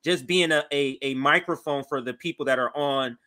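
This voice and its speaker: An American man co-hosting a casual political show, talking in a halting, repetitive, wryly amused way. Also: mid-range voice, pushed and bright. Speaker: co-host.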